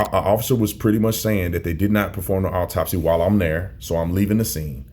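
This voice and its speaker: A man's voice: fairly low pitch, a little mellow. An American man giving opinion commentary to viewers, emphatic, repeating and stretching key phrases for weight. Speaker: commentator, former police officer.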